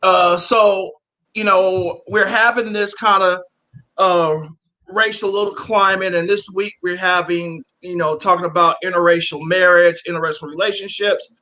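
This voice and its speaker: A man's voice: sits high, fairly even intonation, normal speed, pushed and bright, low-quality recording. A man hosting a podcast interview in a casual, rambling way, with many fillers and restarts. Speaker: podcast host.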